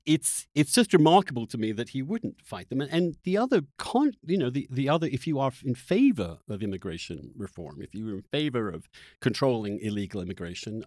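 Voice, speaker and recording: A man's voice: medium pitch, a little mellow, dry recording, studio quality. A man hosting a political podcast, challenging his guest in an emphatic, incredulous way. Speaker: podcast host. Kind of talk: combative political debate.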